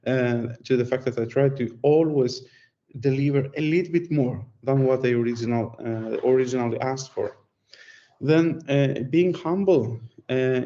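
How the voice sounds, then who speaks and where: Greek-accented man giving a relaxed talk. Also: mid-range voice, highs cut off. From Greece. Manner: conversational, storytelling, self-deprecating. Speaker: conference speaker. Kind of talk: presentation.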